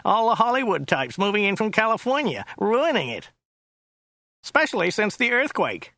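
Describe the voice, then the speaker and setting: Storybook driver: very high, nasal whine, dim, chatty, pushy, obtuse. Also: male voice, big pitch swings, moderate pace, pushed and bright, no room sound, studio quality, full character voice. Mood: chatty, grumbling. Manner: rambling, obtuse, insistent. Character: large sweaty dim chatty American limo driver, male.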